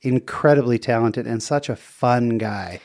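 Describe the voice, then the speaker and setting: A man hosting a casual interview podcast, enthusiastic and quick to react to his guest. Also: medium pitch, a little mellow, no echo, studio quality. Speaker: podcast host.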